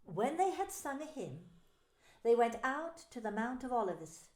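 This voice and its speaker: A woman narrating a dramatised Bible story in scripted, expressive storytelling. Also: a little high, unhurried. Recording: echoey room with faint music.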